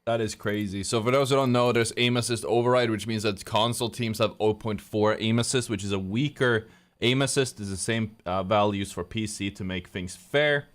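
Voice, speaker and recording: A man's voice: on the low side, normal speed. A young man from Sweden with a Swedish accent, casually casting a tournament on his stream and rambling with lots of fillers and restarts. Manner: rambling, loose, many fillers and self-corrections. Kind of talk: casual live-stream commentary.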